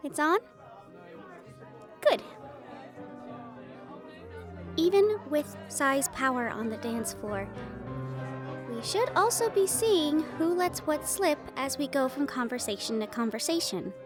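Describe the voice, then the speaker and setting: Woman acting high, lilting, affectionate young fiancée. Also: measured pace, music in the background. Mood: thoughtful, conspiratorial. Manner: affectionate, expressive, chatty. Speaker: audio roleplay voice performer. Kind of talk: intimate scripted audio roleplay addressed to the listener.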